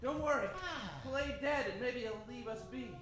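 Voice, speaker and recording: A man's voice: very high voice, normal speed. A man playing a young, bumbling would-be hero in a radio adventure, nervous, eager and blustering. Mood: dazed, worried. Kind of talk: old-time radio adventure acting. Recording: very echoey room, music behind.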